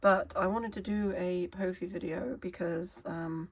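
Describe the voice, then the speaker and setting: Hoarse, wheezy woman vlogger with a cold; halting, apologetic, chatty explainer. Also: lowish voice, fairly even intonation. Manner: halting, apologetic, many fillers and restarts. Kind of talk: casual product explainer.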